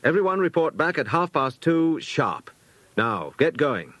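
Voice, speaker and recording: A British man voicing an eager, bossy schoolboy who leads a children's club in an audiobook, brisk and keen to organise. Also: fairly high pitch, big pitch swings, fairly bright tone, no room sound, voice pushed up, heavily acted. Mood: brisk, commanding.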